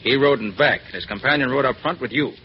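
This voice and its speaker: An actor playing a confident, commanding master detective with an assured manner in a 1940s radio drama. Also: male voice, medium pitch, fast, natural voice. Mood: hard, threatening. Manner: assured, crisp, authoritative. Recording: dull, noisy 1940s radio recording.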